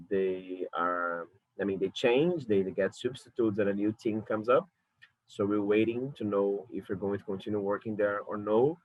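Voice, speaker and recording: Brazilian man, low voice, hesitant talk. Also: no echo, muffled recording. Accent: Brazilian Portuguese. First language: Portuguese. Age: 30s. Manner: thoughtful, self-correcting, frequent hesitations. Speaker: curator and lecturer. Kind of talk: recorded video talk. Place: alone in his apartment. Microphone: camera mic.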